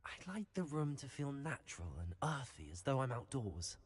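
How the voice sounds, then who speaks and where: An actor playing a polite, earnest young student at a magic school in a video game, with a courteous, formal manner. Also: male voice, medium pitch, very animated, moderate pace, breathy edge. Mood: polite, curious. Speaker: game player character, student. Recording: long hall-like reverb.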